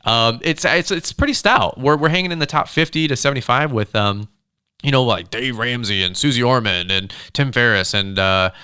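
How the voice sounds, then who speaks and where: A man with a crisp, bright voice talks quickly as a podcast host. His delivery is casual and upbeat, rambling along with bro-ish slang. The sound is close and dry, as in a studio. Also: mid-range voice, dry recording. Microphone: close studio microphone.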